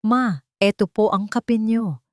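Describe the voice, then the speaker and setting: A woman's voice: medium pitch, slow pace, dry recording, clean studio sound, pitched above the performer's own voice, natural voice. A woman voicing a remorseful, devoted middle-aged son in an audio story, deferential and pleading. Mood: caring.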